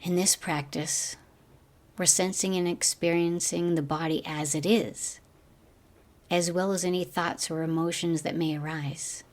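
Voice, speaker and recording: A woman guiding a meditation as a teacher, speaking gently in slow, measured phrases that trail off softly. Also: lowish voice, fairly even intonation. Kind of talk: guided meditation narration.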